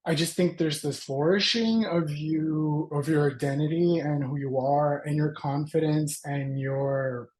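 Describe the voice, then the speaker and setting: A man's voice: on the high side, steady tone, unhurried, few pauses, darkish tone. A man from Brazil, a native Portuguese speaker, hosting a casual celebrity interview in a gushing, admiring way.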